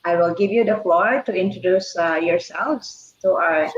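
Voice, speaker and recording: A woman, hosting a casual online interview and pausing often with fillers. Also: on the low side, noisy background. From Philippines. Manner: hesitant, many pauses and fillers. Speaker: interview host. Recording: echoey, dull-sounding room.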